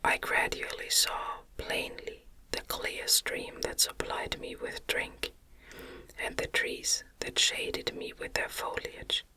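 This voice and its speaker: A woman reads a gothic novel in a gentle, soothing, breathy whisper close to the microphone, in an ASMR style. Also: takes it slowly. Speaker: audiobook reader.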